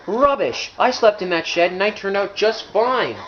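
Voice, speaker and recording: A man's voice: high voice, normal speed, fairly bright tone, dull audio, a little hiss or hum, voice pushed up. A man voicing an earnest, cautious green engine in a children's train story, polite and explanatory. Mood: indignant.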